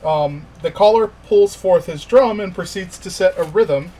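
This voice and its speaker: A man working as game master, narrating a tabletop fantasy game in an informal, halting way with pauses. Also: high voice, warm tone, slight background noise.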